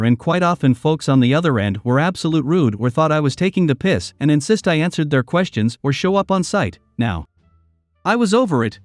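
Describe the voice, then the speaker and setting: A man narrating reddit stories in a steady, scripted storytelling read. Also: a little high, no echo. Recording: dry close sound with soft music behind.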